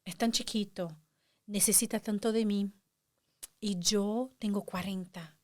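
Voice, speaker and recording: Middle-aged lady hosting a chat show, talks casually, keeps hunting for the right word, mid pitch. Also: fairly even intonation, a little slow. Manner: searching for words, warm and chatty with frequent restarts. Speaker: podcast host.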